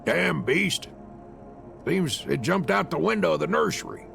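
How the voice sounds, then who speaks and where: A man voicing a gruff, angry father who hunts, scolding as he goes, in a visual novel reading. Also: on the high side, expressive, measured pace, fairly bright tone, soft background music, full character voice. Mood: irritated.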